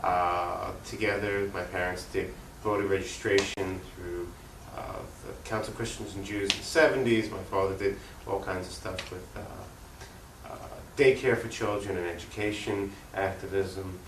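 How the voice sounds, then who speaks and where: Low-pitched man in a roomy, distant recording. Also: fairly even intonation, moderate pace, vocal fry. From Long Island, New York, US. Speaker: interview guest, political activist. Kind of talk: conversational interview answers. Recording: roomy, distant mic, faint noise.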